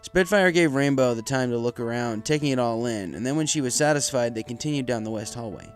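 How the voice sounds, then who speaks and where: A man's voice: mid-range voice, animated, brisk. Man narrating a story over music. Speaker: fan fiction narrator. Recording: music behind the voice.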